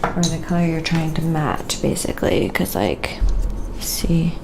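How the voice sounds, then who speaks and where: Soft-spoken, unhurried woman with creaky voice. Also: sits low, lively intonation, noisy background. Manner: soft-spoken, murmuring to herself between remarks. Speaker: live-stream painting host. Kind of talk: quiet casual ASMR painting stream chat.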